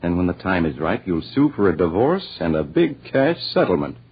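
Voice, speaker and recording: A man's voice: deep voice, sing-song, fairly quick, music in the background. An actor playing a cool, calculating, greedy con man with sly delivery in 1940s radio drama style. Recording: muffled, dull vintage 1940s radio recording.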